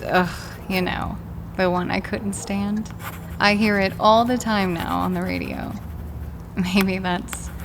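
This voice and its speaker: A woman performs a wistful, fragile mourner in an audio drama, speaking very slowly and haltingly with a crackly, fry-edged voice. The delivery is intimate and sighing, over clear scratchy background noise. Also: mid-range voice. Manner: halting, sighing, slipping into whispers. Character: grieving adult writing to a lost love, wistful and fragile.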